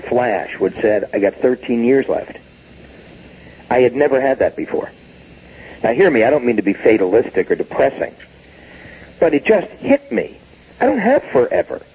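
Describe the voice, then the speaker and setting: Husky sixty-something man, lively and emphatic; dull lo-fi tape sound. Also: medium pitch, normal speed, long pauses. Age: 60s. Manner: emphatic, animated storytelling with acted quotes. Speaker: business guest expert. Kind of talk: recorded business interview.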